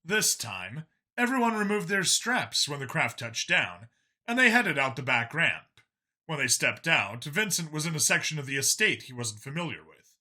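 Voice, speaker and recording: A man reading a scripted story as an expressive, dramatic audiobook narrator. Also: on the high side, normal speed.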